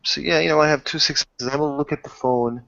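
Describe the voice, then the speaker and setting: A man's voice: a little high, fairly even intonation, brisk, gravelly, a bit bright. A man in a casual tabletop roleplaying session, speaking in fragments and often rephrasing mid-sentence. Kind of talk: improvised casual roleplay chat. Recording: dull lo-fi voice recording with clicks.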